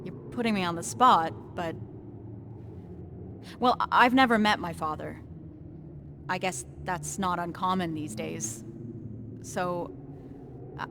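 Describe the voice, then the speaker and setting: A woman's voice: medium pitch, lightly acted. A woman playing a determined, caring young resistance fighter in a dramatic, conversational video game cutscene. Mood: earnest, tentative. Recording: clear background noise, vehicle-like ambience.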